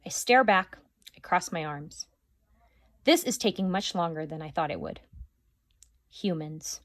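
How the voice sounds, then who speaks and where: A teacher reading a children's novel aloud, narrating expressively. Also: female voice, lowish voice, dry recording, studio quality. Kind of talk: storybook read-aloud.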